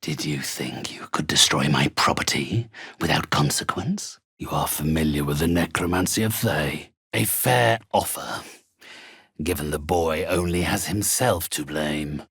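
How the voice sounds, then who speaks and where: An actor playing a sinister, pompous undead necromancer in a video game, speaking formally with cold politeness in a gravelly, husky voice. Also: male voice, very deep voice, a little slow, fairly bright tone, dry recording, studio quality, heavily acted. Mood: cold menace. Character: sinister, haughty undead male necromancer trading in spirits. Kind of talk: scripted video game character acting.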